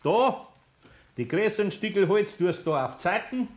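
An actor playing a patient but firm older master carpenter with a Bavarian accent, speaking in a homely, deliberate way in a 1960s radio play. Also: male voice, high-pitched, sing-song, a little hiss or hum. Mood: patient, instructing.

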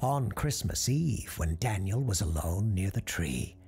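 A podcast host and storyteller giving dramatic, measured scripted narration, with a gravelly voice that drops into hushed tones. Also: low-pitched, expressive. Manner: theatrical, measured.

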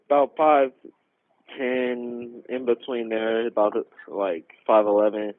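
A young man making an emergency call over a telephone line, giving a halting, informal, rambling account. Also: medium pitch, flat delivery, no echo. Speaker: caller reporting a robbery. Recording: tinny narrowband emergency phone line.

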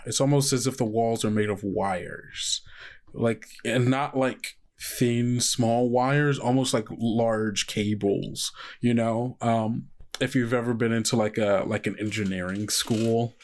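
A man's voice: medium pitch, steady tone, slow pace. A man with an American accent who runs a tabletop game, narrating off the cuff in a conversational, rambling way full of asides. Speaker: game master.

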